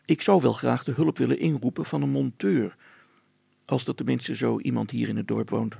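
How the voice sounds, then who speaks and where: Man playing a gravelly, courteous, cheeky pilot. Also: mid-range voice, normal speed, no echo. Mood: patient. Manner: polite, patient, gently ironic. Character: calm, courteous, cheeky male helicopter pilot.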